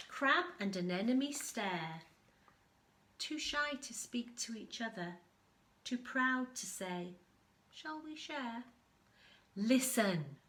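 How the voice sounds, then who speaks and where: A woman reading a picture book aloud to young children, expressively, pausing to explain things as an infant school storyteller. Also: medium pitch, fairly bright tone.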